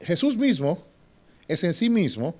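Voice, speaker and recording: A man teaching a Bible class in a preaching style. He often asks the class to agree and moves between loud and hushed delivery. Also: high-pitched, very animated, measured pace. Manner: swings from loud to hushed, with short laughs.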